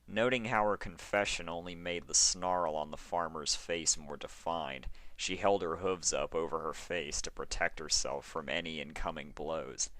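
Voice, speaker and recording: A man with a very low voice and a forceful, bright edge narrates a scripted story. His delivery is measured and dramatic, and the sound is close and dry. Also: dry recording.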